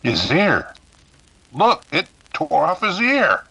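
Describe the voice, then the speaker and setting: A man acts out a shaken radio announcer in a vintage mystery drama. The voice is raspy and forceful, formal yet urgent, with lively pitch movement. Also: slow, heavily acted, poor audio. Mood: horrified. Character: rattled male radio announcer keeping the broadcast going. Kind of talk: 1930s radio mystery acting.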